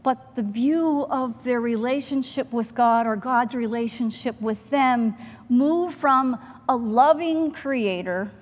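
A middle-aged woman pastor giving a sermon from the pulpit in a church sanctuary, measured and earnest, with deliberate pauses. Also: fairly high pitch. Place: church sanctuary, in front of the chancel. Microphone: pulpit microphone, far camera. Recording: long church hall reverb.

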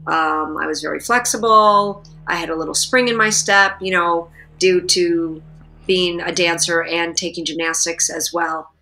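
Middle-aged female guest, bright and clear-toned, thoughtful and explanatory, video call audio. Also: fairly low pitch, steady tone, measured pace, faint music behind. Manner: thoughtful, explanatory, with filler words. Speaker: guest, actress and martial artist. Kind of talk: interview answers. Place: indoors, plain room.

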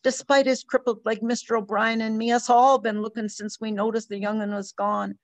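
A woman's voice: a little high, level tone, moderate pace, no echo, pitched above the performer's own voice, close to an everyday voice. A woman reading aloud voices a panicked farm mother pleading for her lost child. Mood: frantic. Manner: pleading, breathless, rising to wails. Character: frantic mother in her thirties, panicked, wailing.

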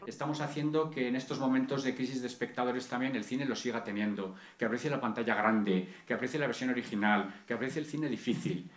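A man explaining in an interview soundbite, earnest and rapid. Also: mid-range voice. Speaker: film course organizer. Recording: echoey room.

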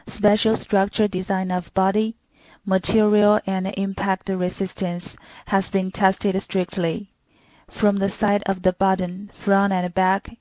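A woman's voice: medium pitch, mellow, no room sound, low-quality recording. A woman reading scripted product demo narration in a stilted, word-by-word manner.

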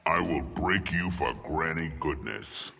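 An actor playing a merciless ancient alien god-conqueror in a video game, gravelly and booming, with slow imperious menace. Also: male voice, lively intonation, loud music behind the voice, full character voice. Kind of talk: video game villain acting. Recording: cavernous reverb, loud game sound behind.

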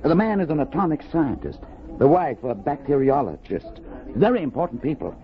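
A man's voice: fairly high pitch, sing-song, fairly quick. An actor playing a polite, insinuating, sinister man hunting fugitives in a 1950s radio drama. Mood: veiled menace. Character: smooth, sinister time-travelling pursuer posing as a tourist. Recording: muffled vintage radio recording with noise.

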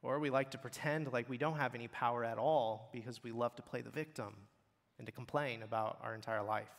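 A man's voice: medium pitch, fairly even intonation, fairly quick. A man preaching a sermon in an earnest, blunt way that builds in intensity. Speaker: pastor. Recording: long hall reverb.

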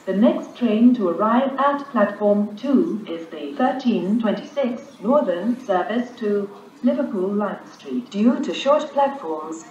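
A woman with a British accent giving automated, scripted railway announcements in a measured, formal, pieced-together way. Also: mid-range voice, mellow, dull audio. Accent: British English. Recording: echoey, noisy station announcement recording.

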